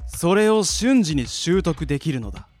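Spirit mentor, radio drama: male actor as a pompous, kindly gentleman, lecturing, pitched high for a man, over soft music. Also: big pitch swings, normal speed. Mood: solemn, explaining. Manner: pompous, old-fashioned, lecturing speech. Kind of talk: anime-style radio drama acting. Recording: background music under the voice.

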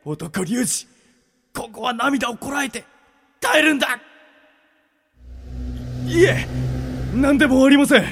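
A man's voice: extremely high pitch, lively intonation, slow pace, pauses often, fairly bright tone, exaggerated voice. A man acting an earnest, easily flustered yakuza underling, stammering and melodramatic in a radio drama. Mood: tearful, determined. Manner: stammering, melodramatic, rough henchman's slang.

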